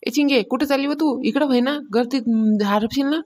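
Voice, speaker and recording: A woman plays a scolding village mother in a cartoon comedy. She has a fairly high voice and a Varhadi Marathi accent, exclaiming and nagging at a fast pace. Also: a little mellow, close to an everyday voice.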